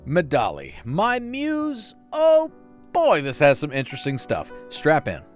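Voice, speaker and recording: Man, high-pitched lively voice, comedic scripted video narration, wry playful asides, faint music behind. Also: measured pace. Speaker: YouTube video essay narrator.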